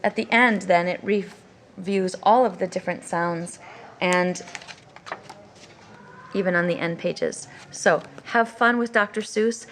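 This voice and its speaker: A woman's voice: lowish voice, slow, pauses often, a little hiss or hum. American woman teaching through picture books. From United States. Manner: informal, explanatory, teacherly. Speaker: host of an English-language teaching series on children's books. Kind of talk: educational talk with read-aloud of picture books.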